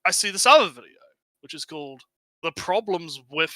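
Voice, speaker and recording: A man's voice: fairly high pitch, lively intonation, normal speed, pushed and bright, no echo, rough recording. A man co-hosting a livestream with casual banter, rambling and often repeating his phrases. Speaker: livestream co-host.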